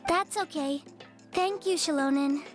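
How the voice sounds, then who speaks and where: A woman voicing a polite, earnest, frail little girl in a video game, with a soft childlike voice. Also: high voice, measured pace, faint music behind. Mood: polite, reassuring.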